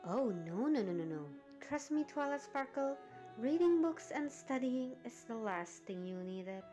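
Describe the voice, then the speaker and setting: Woman as friendly, higher-voiced cartoon pony. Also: animated, a little slow, mellow, faint music behind. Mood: modest, earnest. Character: friendly, modest adult female pony. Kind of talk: fan-made cartoon audio drama.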